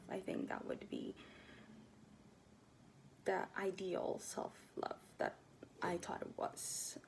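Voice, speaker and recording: A woman in her twenties from Ethiopia talking casually to her camera, soft-spoken and hesitant, often dropping to near-whispers. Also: fairly low pitch, creaky, low-quality recording. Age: 20s. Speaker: vlogger. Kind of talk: casual personal talk to camera.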